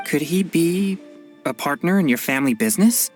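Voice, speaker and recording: A woman's voice: deep voice, animated, moderate pace. A woman voicing a reserved, earnest young person in a video game, hesitant and understated. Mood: inquiring. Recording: studio voice over faint music.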